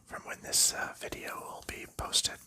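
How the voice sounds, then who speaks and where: A man, host of an ASMR channel, softly whispering in a rambling, casual way while sleeving board game cards. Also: a little slow. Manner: soft rambling whisper with light laughs and fillers.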